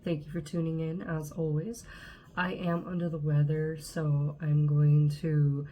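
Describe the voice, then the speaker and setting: A woman's voice: low-pitched, level tone, unhurried, dark tone, a little hiss or hum. A woman in her twenties, from Southern California, congested with a cold, talking casually to camera with frequent check-ins. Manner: chatty, frequent "okay?" check-ins and fillers. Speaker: channel host.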